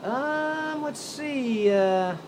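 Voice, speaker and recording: A man's voice: very high voice, animated, drawn-out words. An American man hosting an amateur radio show, rambling off the cuff in a folksy, jokey way with theatrical flourishes. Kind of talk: rambling ad-libbed radio show commentary on news. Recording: clearly noisy recording with ambient sound.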